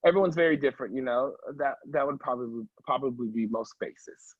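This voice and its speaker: A man's voice: medium pitch, a little fast, no echo, highs cut off. A man who is an opera singer talking casually as an interview guest, emphatic and chatty, often checking in with the listener. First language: English.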